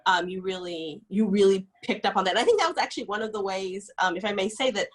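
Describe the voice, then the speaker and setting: A woman's voice: medium pitch, fast. A woman scholar interviewing an author over a video call, asking long, winding questions full of "sort of" hedges. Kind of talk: conversational interview.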